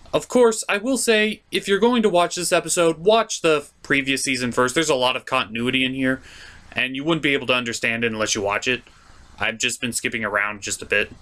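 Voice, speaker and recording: Animated, bright-voiced male cartoon reviewer. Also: medium pitch. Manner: theatrical, jokey, emphatic. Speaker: host of a cartoon review show. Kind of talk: scripted comedic review narration.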